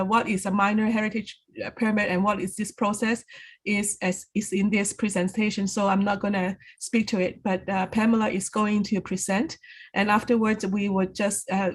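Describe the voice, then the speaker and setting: Hesitant woman introducing a presentation. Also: mid-range voice. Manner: hesitant, many fillers and restarts. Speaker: municipal staff member introducing a presentation. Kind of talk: meeting introduction.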